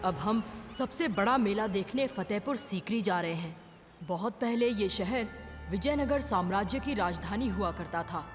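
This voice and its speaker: A woman's voice: medium pitch, expressive, moderate pace, close to an everyday voice. A woman voicing a cheerful, food-loving young boy in a dubbed children's cartoon, eager and wide-eyed, with music playing behind. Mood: cheerful storytelling. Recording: clear music bed behind the voice.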